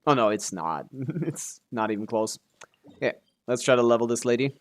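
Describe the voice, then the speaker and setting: Man, game streamer, casual chatty commentary, laid-back, mid-pitched voice. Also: moderate pace. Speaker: live streamer playing a video game.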